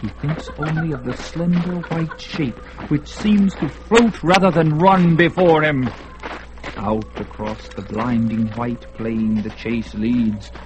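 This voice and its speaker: Give me a man narrating a radio drama in a slow, dramatic, measured way. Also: a little high, background noise. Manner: dramatic, measured, rising with the action.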